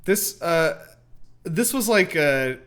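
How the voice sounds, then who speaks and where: A man's voice: fairly high pitch, expressive, slow pace, a bit bright. A man chatting casually as a host reviewing films, in a rambling, hedging way that often restarts. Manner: rambling, hedging, many self-interruptions. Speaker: movie reaction host. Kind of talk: casual film review chat.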